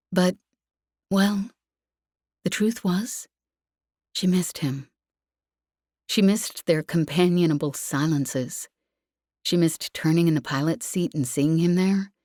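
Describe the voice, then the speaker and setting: A woman's voice: lowish voice, a little mellow, dry recording, clean studio sound. A woman narrating a novel as an audiobook reader, in a scripted storytelling style.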